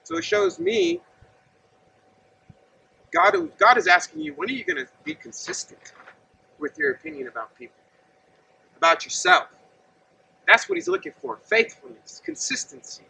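A man's voice: very high voice, sing-song, moderate pace, gravelly, pauses often, bright, forceful tone, slight background noise. A man in his thirties preaching earnestly and conversationally outdoors, standing and gesturing while he talks to a camera set some distance away. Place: outdoors by a gravel lot and fence. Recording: distant outdoor mic with faint ambience.

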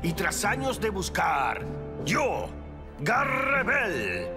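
A man with a Latin American accent playing a slick, theatrical explorer in a cartoon dub, proclaiming pompously with drawn-out drama. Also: sits high, huge pitch swings, exaggerated voice. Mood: boastful, rousing. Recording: loud music behind.

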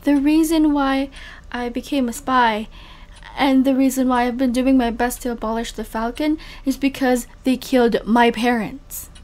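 Woman acting betrayed young woman spy, high voice, hurt, bitter, guarded, emotional audio drama. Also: steady tone, moderate pace, darkish tone, natural voice. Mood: accusing, hurt.

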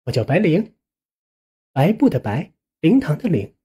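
A male narrator plays a pretty young woman in a suspense audiobook. She is blunt and teasing, with a mellow, slightly husky tone and very lively intonation. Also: on the high side, measured pace, exaggerated voice. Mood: cool, teasing.

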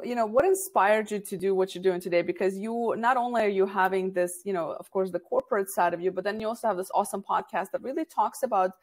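A young woman hosting a podcast interview over a video call, chatty and enthusiastic. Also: medium pitch. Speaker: podcast host. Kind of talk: casual interview chat. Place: small room at home.

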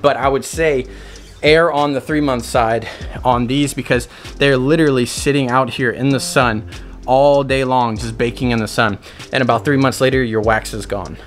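A man's voice: mid-range voice, fairly even intonation, moderate pace. Young guy vlogging at a marina, chatty and upbeat, camera at arm's length, faint music behind. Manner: upbeat, chatty, lots of "guys" and fillers. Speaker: boat detailing business owner. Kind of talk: casual how-to vlog. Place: outdoors at a sunny marina. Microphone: handheld camera at arm's length.

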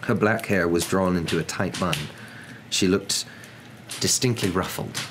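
Low-pitched young man reading a story. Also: measured pace. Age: young adult. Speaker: audiobook-style reader. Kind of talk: ASMR storybook narration. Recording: clear background noise.

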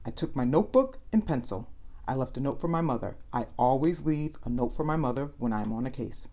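A woman with a deep voice reads a children's book aloud. Her intonation is lively and swoops up and down. She phrases things deliberately, stretching words for emphasis. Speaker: storyteller reading children's books aloud. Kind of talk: read-aloud story narration.

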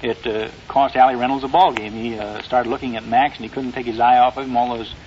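A man with a halting, folksy storytelling manner, speaking as a guest sports writer in an early 1950s radio interview. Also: medium pitch, fairly even intonation. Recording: dull, noisy old radio recording.

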